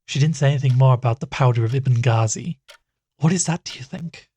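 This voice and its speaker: An actor playing an earnest, cautious investigator in an audio horror drama, asking questions in a serious way. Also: male voice, medium pitch, fairly quick, warm tone, husky, lightly acted. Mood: curious. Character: inquisitive male investigator and podcaster, earnest, cautious. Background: music.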